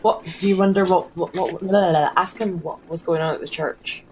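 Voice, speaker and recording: A woman chats casually as a player at a tabletop game. Her voice is on the low side. Clear background noise surrounds it. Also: steady tone, a little fast, rough recording.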